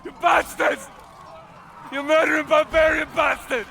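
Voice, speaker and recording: An actor playing a fierce, vengeful young Roman soldier in a video game, with raw, explosive, dramatic shouting. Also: male voice, very high voice, steady tone, a little slow, gravelly, a faint crowd, full character voice. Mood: enraged.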